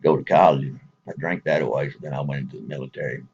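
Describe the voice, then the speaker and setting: A man's voice: very deep voice, very fast, a little mellow, husky, lo-fi sound. A man with a Texas accent speaking casually to viewers in a folksy, rambling way, chuckling between thoughts.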